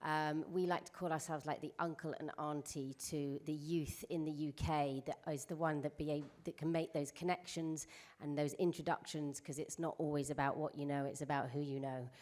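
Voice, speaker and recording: Woman, deep voice, festival organiser on a panel, chatty, hesitant starts, informal. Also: level tone, normal speed, few pauses, a little mellow. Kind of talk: informal panel self-introduction.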